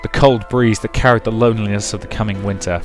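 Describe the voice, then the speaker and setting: A man's voice: lowish voice, fairly even intonation, fast talker, over music. A man narrating a visual novel playthrough, reading the story aloud and adding casual commentary, with a rambling way of talking. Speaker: let's player and narrator.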